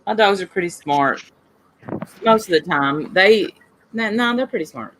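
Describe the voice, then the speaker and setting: A woman's voice: medium pitch, expressive, a little slow, a bit bright, slight background noise, low-quality recording. Woman host, casual chat, candid, self-deprecating, swears casually.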